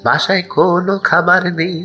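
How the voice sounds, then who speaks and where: A woman's voice: fairly low pitch, steady tone, normal speed, sharp tone, soft background music. A woman playing a poor, ailing, grateful mother in a cartoon fable, with a plaintive, pleading delivery. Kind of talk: cartoon voice acting.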